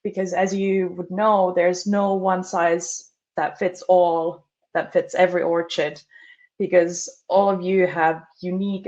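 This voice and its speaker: A woman from Finland leads a webinar in a fairly low voice, measured and friendly, pausing often on "uh". The audio comes through muffled and lo-fi, as on a video call. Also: a little mellow. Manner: friendly, measured, with frequent "uh" fillers. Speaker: customer success specialist. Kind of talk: webinar presentation.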